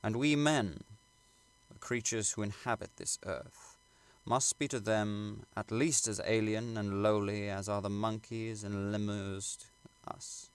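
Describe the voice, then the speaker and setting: A man reading literary prose aloud as an audiobook narrator, in a measured, formal and dramatic way. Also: on the low side, wildly swooping pitch, clean tone. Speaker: audiobook reader.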